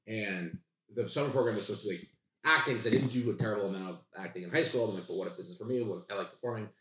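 A man's voice: on the low side, racing, background noise. A young man chatting casually as a comedy podcast guest, telling jokey, profane stories. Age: young adult. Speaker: guest comedian and sketch writer. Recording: roomy, echoey podcast room.

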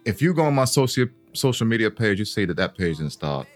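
A man's voice: fairly low pitch, very animated, fast, soft background music. A young man co-hosting a podcast in loose, casual chat, joking and swearing easily. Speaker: podcast co-host.

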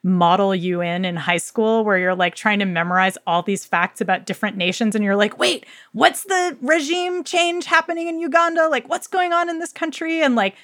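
A woman's voice: a little high, moderate pace, few pauses, fairly bright tone, dry recording, studio quality. A woman co-hosting a podcast, chatting casually and effusively in colloquial, slangy language as she recommends books. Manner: enthusiastic, effusive. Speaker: podcast co-host. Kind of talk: casual book recommendation chat.

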